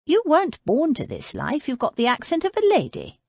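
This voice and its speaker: A woman voicing a frail, proud, sharp-tongued elderly aristocratic lady with a haughty, querulous manner. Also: high-pitched, huge pitch swings, a little fast, gravelly, no echo, pitched above the performer's own voice, heavily acted. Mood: sharp, wry.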